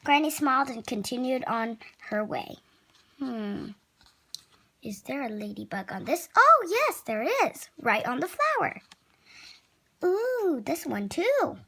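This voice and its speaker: High-pitched, bright, slow-paced, expressive read-aloud storytelling, playful asides. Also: breathy edge. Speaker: children's story reader.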